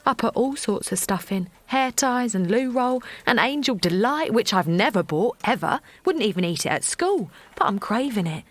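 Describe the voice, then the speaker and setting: An actress playing a worn-out young mum. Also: female voice, a little high, lively intonation. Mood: weary. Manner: halting, apologetic, often softly spoken. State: tired, overwhelmed. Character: exhausted young mother Linda, frazzled and hesitant. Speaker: narrator and lead character. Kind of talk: audio drama acting.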